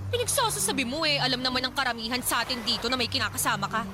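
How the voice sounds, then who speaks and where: Very high-voiced, indignant Filipino daughter. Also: female voice, big pitch swings, rapid-fire, noisy background. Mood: exasperated, scolding. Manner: sharp, exasperated. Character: indignant scolding young daughter, embarrassed and sensible. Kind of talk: Tagalog radio drama acting.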